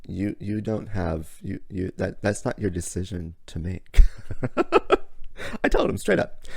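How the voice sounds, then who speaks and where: Hoarse, raspy, sassy man hosting. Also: deep voice, huge pitch swings, slow, no room sound. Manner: sassy, theatrical, calls listener honey and darling. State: hoarse from illness. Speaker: podcast host. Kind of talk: motivational monologue.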